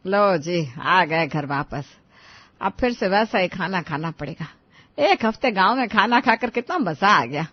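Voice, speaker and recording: A woman's voice: on the low side, lively intonation, a little slow, husky, no room sound, lo-fi sound. A woman voicing a fussy, critical older in-law who complains in a family story. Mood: sarcastic.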